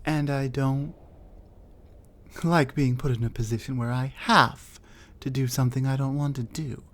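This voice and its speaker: Slow-talking territorial troll woman, scornful and commanding, ASMR roleplay, voiced by a woman. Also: rumbling low, fairly even intonation. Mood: hostile, commanding. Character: territorial female troll, huge, reclusive, cold. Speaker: voice actor.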